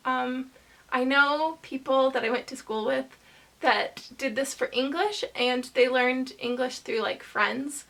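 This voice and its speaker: Woman vlogging, bright high voice, takes her time, kinda rambly with lots of ums. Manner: hesitant, filler-heavy rambling with frequent pauses. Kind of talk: casual chat to camera.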